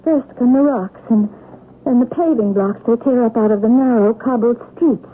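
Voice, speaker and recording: An actress playing a troubled, proud wife with an aristocratic streak in an intense, theatrical radio drama style. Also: female voice, on the high side, normal speed, close to an everyday voice. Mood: haunted. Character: troubled wife seized by a haughty, bitter French aristocrat persona. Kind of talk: old-time radio drama acting. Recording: tinny, noisy old radio broadcast.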